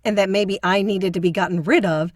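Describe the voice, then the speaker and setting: A woman playing a sharp, determined amateur investigator with a wry, deliberate manner in an audio drama. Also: medium pitch, fairly even intonation, no room sound, studio quality, natural voice. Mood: pleased, explaining.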